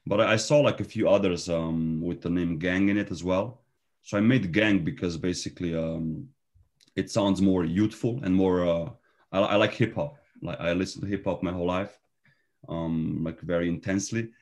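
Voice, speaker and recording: A man's voice: sits low, fairly even intonation, moderate pace, darkish tone. A man with a Slovenian accent, chatting casually as an interview guest, laughing often and restarting his sentences.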